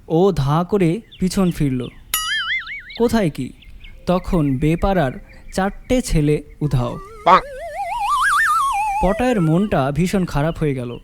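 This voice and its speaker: A man's voice: fairly high pitch, noisy background. A man reading a scripted story as its narrator. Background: music.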